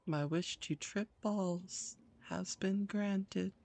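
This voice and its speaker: A woman's voice: mid-range voice, fairly even intonation, extremely slow, vocal fry, darkish tone. A woman hosting a gaming video with casual, jokey and irreverent gameplay commentary.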